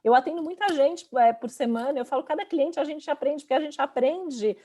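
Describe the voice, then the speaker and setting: A Brazilian woman with a clearly high-pitched voice speaks as a panelist. Her delivery is informal and conversational, with frequent little tags seeking agreement. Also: a little fast, warm tone. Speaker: astrologer and teacher.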